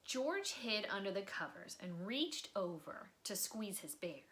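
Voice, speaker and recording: A woman reading a picture book aloud for children, expressively, with dramatic pauses and drops into breathy near-whispers for suspense. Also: mid-range voice, big pitch swings, a bit bright, a little hiss or hum. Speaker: volunteer storybook reader. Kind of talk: children's read-aloud storytime narration.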